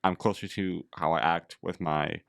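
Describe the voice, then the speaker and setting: Low gravelly man, reflective. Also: fairly even intonation, normal speed, fairly bright tone, dry recording, clean studio sound. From Canada. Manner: reflective, rambling, heavy use of "like". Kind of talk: casual conversation.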